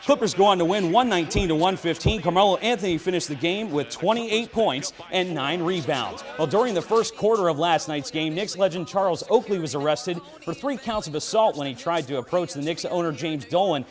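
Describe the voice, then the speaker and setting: A man's voice: a little high, lively intonation, fairly quick, few pauses. A young adult man working as a sports announcer and anchor, delivering commentary and news.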